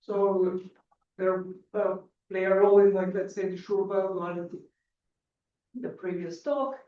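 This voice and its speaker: A woman from Bulgaria giving a math conference lecture, halting, with many restarts and fillers. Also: medium pitch, fairly even intonation, a little hiss or hum. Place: lecture hall. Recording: echoey hall, distant lo-fi mic.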